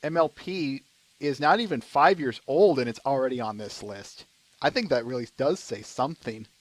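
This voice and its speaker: A man's voice: medium pitch, lively intonation, moderate pace, no echo. A man hosting a casual podcast, announcing the week's schedule in an easygoing way with frequent fillers. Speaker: podcast host.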